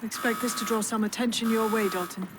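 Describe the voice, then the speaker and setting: An actress as a commanding, highish-voiced woman. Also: steady tone, fast talker, lightly acted. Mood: tense, curt. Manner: commanding, clipped, dry. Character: tough, curt woman resistance leader. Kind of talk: video game cutscene acting. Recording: very noisy game action behind.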